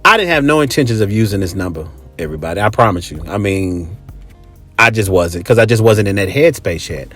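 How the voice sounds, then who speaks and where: A man's voice: lowish voice, lively intonation, fairly quick, a bit bright. Middle-aged male storyteller, casual and emphatic, straight-talking delivery with music behind him. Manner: blunt, emphatic, conversational. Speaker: host.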